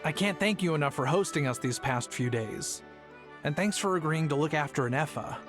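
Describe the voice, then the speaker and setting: A man's voice: a little high, expressive, brisk, close to an everyday voice. A man voicing a grateful traveling father figure in a game, speaking politely and thankfully. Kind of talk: game voice acting. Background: game music.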